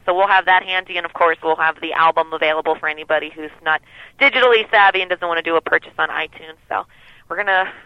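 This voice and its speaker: A lead singer with a lower voice talks over a dull, lo-fi phone line. She rambles easily, filling gaps with ums and you-knows. The sound is muffled, and the line is dry with no room echo. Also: fast talker. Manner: relaxed, rambling, many fillers. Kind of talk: phone interview.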